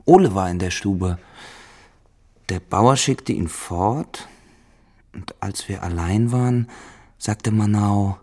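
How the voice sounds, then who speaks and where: Jealous poor farmhand in a radio play, deep husky voice, pushy and menacing. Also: male voice, takes it slowly, long pauses, a little mellow. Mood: grave, reporting. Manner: brooding, insistent, menacing. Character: poor, jealous, possessive farmhand pressing a woman to marry. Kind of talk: German radio drama acting.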